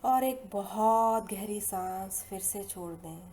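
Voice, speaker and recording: An Indian woman leading a guided hypnosis meditation in slow, soothing, unhurried phrases with long pauses between them. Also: medium pitch, slight background noise. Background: soft meditation music.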